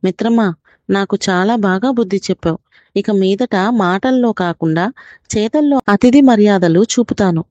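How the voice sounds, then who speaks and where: A woman's voice: mid-range voice, moderate pace, a little mellow, no room sound, highs cut off, close to an everyday voice. A woman voicing a stingy fox who plays the generous host, talking effusively in a children's storybook tale. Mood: ashamed.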